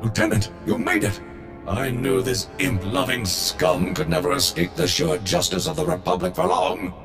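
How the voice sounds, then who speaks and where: An actor voicing a patriotic, honor-bound war droid in a video game, speaking in formal, grandiose, wordy sentences. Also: male voice, mid-range voice, animated, moderate pace, vocal fry, fairly bright tone, faint music behind. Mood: elated.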